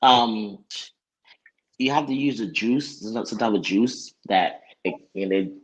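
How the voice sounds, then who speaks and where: A young man with a fairly low voice speaks casually as a guest on a podcast. He is from Haiti. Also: slow, lo-fi sound. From born in Haiti, moved to the US as a child. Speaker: podcast guest, dance instructor.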